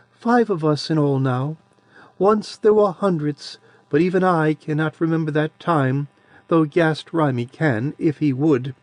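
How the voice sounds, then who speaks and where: A man's voice: a little high, no room sound, voice pushed up, lightly acted. A man doing Adiran, a sweet-voiced ancient crone. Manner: soft and quiet. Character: ancient tiny crone of a coven, sweet childish voice. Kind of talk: audiobook character voice.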